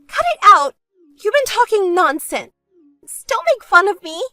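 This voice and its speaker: A woman's voice: very high voice, sing-song, measured pace, bright, forceful tone, no room sound, pitched above the performer's own voice, full character voice. A woman voicing a spoiled, selfish younger sister in a dramatized story, whiny, melodramatic and pleading. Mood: indignant.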